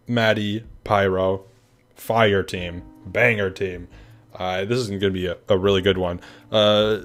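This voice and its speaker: Casual young male host, under the weather and hoarse, upbeat and rambly, low-ish voice. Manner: upbeat, rambling with many fillers. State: sick, losing his voice. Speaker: event host announcing teams. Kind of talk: casual video announcement.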